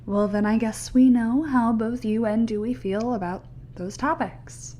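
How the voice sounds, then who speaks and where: A woman's voice: on the high side, fairly even intonation, a little slow, background noise, lightly acted. A woman playing an anxious, studious researcher in an audio play, hesitant, polite and apologetic.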